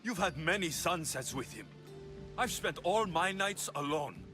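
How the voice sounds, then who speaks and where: An actor playing a battle-hardened young warrior in a game cutscene, earnest, blunt and direct. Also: male voice, on the high side, lively intonation, measured pace. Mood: pointed. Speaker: video game character actor. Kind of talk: dramatic game cutscene acting. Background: background music.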